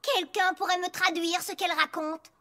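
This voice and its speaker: Squeaky, feisty tiny pony. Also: female voice, moderate pace, noisy background. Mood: sceptical. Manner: blunt, excitable, sceptical. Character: feisty, shrunken tiny female pony, suspicious and blunt. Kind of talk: French cartoon dubbing. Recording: loud music behind the voice.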